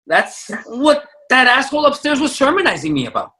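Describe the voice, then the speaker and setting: A man's voice: extremely high pitch, very animated, brisk, bright, forceful tone, heavily acted. An actor playing a wry, defensive young Californian man with Venezuelan parents, in a play reading. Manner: wry, laughing things off.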